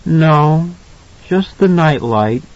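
A man voicing a frightened, confused, earnest little boy in an audiobook, with a halting, pleading delivery. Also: fairly high pitch, lively intonation, voice pushed up. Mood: shy. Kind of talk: audiobook character voice. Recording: slightly dull, lo-fi audio.

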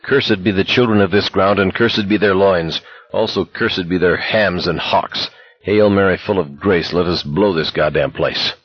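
A man voicing a hysterical young male walker who declaims mock prayers in a jeering, excitable way, in an audiobook story. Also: deep voice, normal speed, husky. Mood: mock solemn. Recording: dull, echoey narration recording.

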